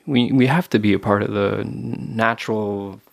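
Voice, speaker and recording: A man hosts a podcast conversation in a fairly low voice with a strong gravelly fry. He speaks hesitantly, searching for words and restarting his phrases. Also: fairly even intonation, a little slow. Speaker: podcast host. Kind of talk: casual interview conversation.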